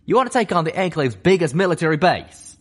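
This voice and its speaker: Jovial pegasus stallion, man reading, loud, high-ish. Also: very animated, fast talker. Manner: folksy, hearty. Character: drawling young male pegasus soldier, jovial.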